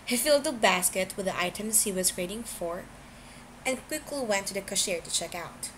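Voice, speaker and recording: A woman's voice: mid-range voice, expressive, normal speed, fairly bright tone, a little hiss or hum, low-quality recording. A woman reading a scripted story aloud as an amateur audiobook narrator.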